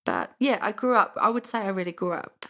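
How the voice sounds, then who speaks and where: A woman's voice: mid-range voice, wildly swooping pitch, brisk, creaky, bright, forceful tone. A woman in her thirties with an English accent, chatting casually and telling stories as an interview guest. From England. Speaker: podcast guest.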